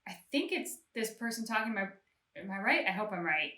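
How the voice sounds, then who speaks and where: A woman with a bright, forceful voice at an average pitch, chatting casually as a reaction co-host. She explains her points with emphasis. The recording is roomy and echoey. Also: sing-song, quick pace. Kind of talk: casual discussion of a game story.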